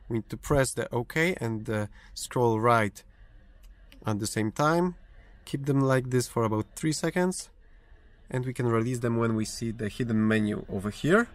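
A man hosting a casual how-to tutorial from inside a parked car, explaining steadily with frequent fillers and restarts. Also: mid-range voice. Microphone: phone or camera held in hand, speaker off screen.

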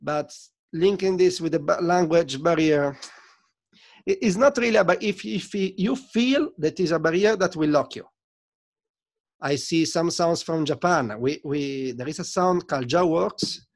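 Animated Italian guest in his thirties, fairly high voice, storytelling over a desk mic. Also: a little slow. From Italy. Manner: animated, gesturing, non-native phrasing. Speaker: sound system selector, guest. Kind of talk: casual interview storytelling. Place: home studio room with record shelves. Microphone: desk mic on video call.

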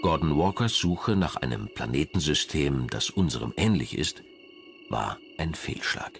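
A man narrating a scripted documentary in a measured, dramatic way. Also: rumbling low, expressive, a bit bright. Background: background music.

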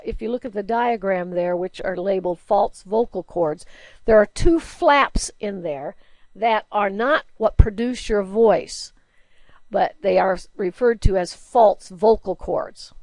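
A woman's voice: animated, unhurried, a little mellow, dry recording. A woman teacher giving a recorded slide lecture, chatty and explaining with everyday examples and questions. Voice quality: low alto singing voice, by her own account.

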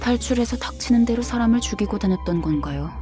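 Game role: actress playing an earnest young female, fairly high, very expressive range, music and crowd behind. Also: fast talker, close to an everyday voice. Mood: questioning. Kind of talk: video game voice acting.

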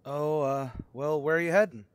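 An actor playing an anxious, obsessive motorist in a radio suspense drama, speaking dramatically and halting when rattled. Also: male voice, on the high side, fairly even intonation, slight background noise, lightly acted. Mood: awkward, probing. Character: haunted cross-country motorist, man, anxious, increasingly obsessive. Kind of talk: old-time radio suspense drama acting, first-person narration and dialogue.

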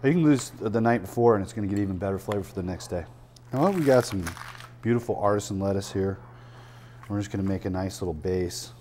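A man's voice: lowish voice, brisk, a little hiss or hum. Older man chatting through a cooking demo. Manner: relaxed, chatty, explains as he works. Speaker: chef and cooking show host. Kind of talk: casual cooking demonstration. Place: restaurant dining room, indoors.

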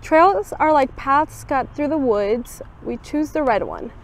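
A young woman with a fairly high voice reads a children's picture book aloud. Her storytelling is expressive, and she brightens the voice for the quoted lines. She was recorded outdoors on a campus bench by a camera a short way off. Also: slow pace. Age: young adult. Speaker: student volunteer reader.

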